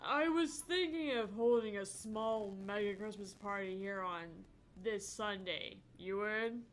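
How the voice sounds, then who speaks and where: A woman's voice: mid-range voice, a little slow, natural voice. A woman voicing an easygoing, casual bar boss in a visual novel.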